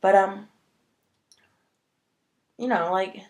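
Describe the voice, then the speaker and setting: A woman's voice: mid-range voice, extremely slow. A woman talking casually to camera in a vlog, sniffly from a cold and rambling with many fillers. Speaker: vlogger.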